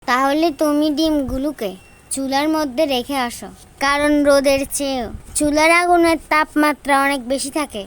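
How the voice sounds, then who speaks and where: A woman voicing a firm, practical mother in a kids' cartoon, explaining and gently scolding. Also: high-pitched, steady tone, moderate pace, natural voice. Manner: admonishing, explanatory. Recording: music behind the voice.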